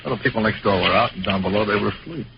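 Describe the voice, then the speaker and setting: An actor playing a weary, dry American police detective in an old radio crime drama, speaking in a deadpan, matter-of-fact way. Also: male voice, lowish voice, rapid-fire, gravelly, very noisy. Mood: matter-of-fact doubt. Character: weary, dry middle-aged police detective, narrating his case. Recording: dull vintage radio recording with music.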